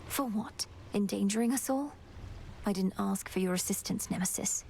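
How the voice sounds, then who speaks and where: A woman's voice: mid-range voice, moderate pace, vocal fry, warm tone, a little hiss or hum, close to an everyday voice. A woman playing a dutiful young witch princess in a video game, formal, polite and resolute. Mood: earnest. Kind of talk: scripted video game dialogue.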